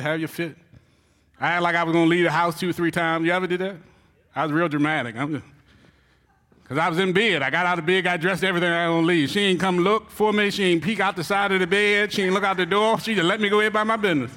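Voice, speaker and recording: A pastor delivers a sermon in a high, bright voice, acting out his story with loud comic cries and quick asides to the listeners. His voice rings through a church hall with a long reverb.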